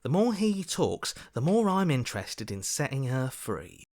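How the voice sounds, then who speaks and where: An actor playing a cynical, distrustful inner voice in a video game, bitter and sarcastic. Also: male voice, mid-range voice, very animated, unhurried, a little mellow. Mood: sarcastic, indignant. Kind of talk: scripted game voice acting.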